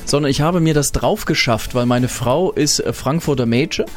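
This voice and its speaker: A man's voice: medium pitch, moderate pace. Radio guest guy, swabian-tinged accent, laughs a lot, faint music behind. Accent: Swabian-tinged German. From Germany (Berlin). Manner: lively storyteller, laughs often. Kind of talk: casual radio chat.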